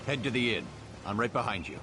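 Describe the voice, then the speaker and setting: Actor as grave nobleman with swooping pitch. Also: expressive, quick pace, close to an everyday voice. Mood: resolute. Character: grave, grieving nobleman ruler commanding his army. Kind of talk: scripted video game dialogue. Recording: music behind the voice.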